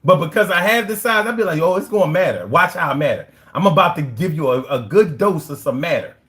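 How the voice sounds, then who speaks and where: Man, young, Philadelphia accent, livestream host, casual chat, brash, profane, animated pitch. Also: fast. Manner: brash, profane street slang, boastful.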